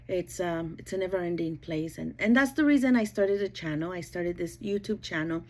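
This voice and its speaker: A woman's voice: lowish voice, moderate pace, darkish tone. A middle-aged woman from El Paso, Texas, bilingual in Spanish and English since childhood, casually introducing herself to viewers in a chatty, rambling way. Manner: chatty, rambling, often checking in with "okay" and "you know". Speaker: YouTube cooking channel host.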